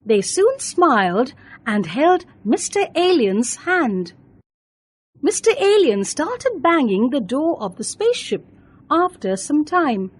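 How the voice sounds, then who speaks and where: A woman reads a children's bedtime story in a high, lively voice. She takes her time over each phrase, slowly and deliberately, with expressive swings in pitch. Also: breathy edge, dry recording.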